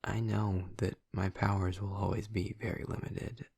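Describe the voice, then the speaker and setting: A man playing a gentle, earnest vampire boyfriend in an intimate audio roleplay, speaking softly and haltingly in a husky, breathy, hushed voice. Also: low-pitched, level tone, dry recording, studio quality, exaggerated voice. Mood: earnest, resolute. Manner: halting, soft-spoken, confiding with long pauses. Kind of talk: intimate audio roleplay, ASMR-like soft delivery.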